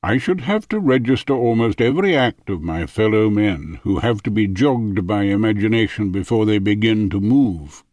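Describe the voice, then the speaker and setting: A man narrating a philosophical audiobook in a formal, measured manner. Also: fairly low pitch, expressive, darkish tone, no room sound. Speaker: audiobook reader.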